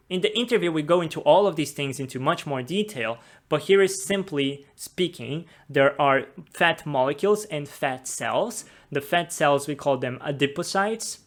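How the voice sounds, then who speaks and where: A man's voice: on the high side, animated, normal speed, few pauses. A young man from Brazil, a podcast host, patiently explaining science in plain steps before an interview. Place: office room with a table. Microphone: desk mic on a boom arm.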